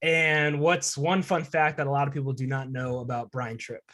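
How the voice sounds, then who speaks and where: Young male student interviewer, medium-pitched voice, casual podcast hosting. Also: level tone, normal speed, sharp tone. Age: young adult.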